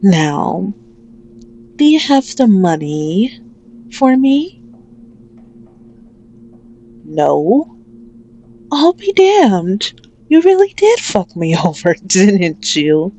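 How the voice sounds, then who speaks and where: A woman's voice: mid-range voice, big pitch swings, mellow, a little hiss or hum, heavily acted. A woman playing a menacing, dominant mafia boss in an ASMR roleplay, mocking and condescending toward a hostage as she speaks. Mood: gloating, menacing. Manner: slow, mocking, condescending, possessive.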